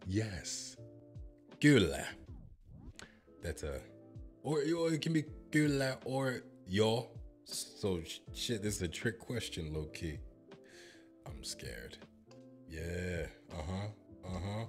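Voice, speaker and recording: American man, playful bragging commentary, lowish voice, faint music behind. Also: slow, long pauses. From United States. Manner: joking, boastful, animated. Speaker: YouTuber reacting to a language app. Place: home studio with purple lighting. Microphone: handheld microphone.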